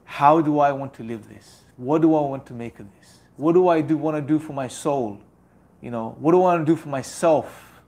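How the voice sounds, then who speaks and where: A man's voice: a little high, normal speed, a little mellow. A young man giving an earnest, exhorting religious talk that leans on rhetorical repetition. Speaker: religious speaker.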